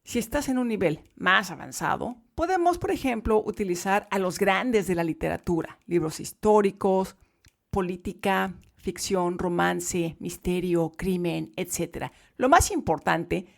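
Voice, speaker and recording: A woman teaching Spanish to learners in a podcast lesson, speaking clearly and emphatically. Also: on the low side, animated, a little slow.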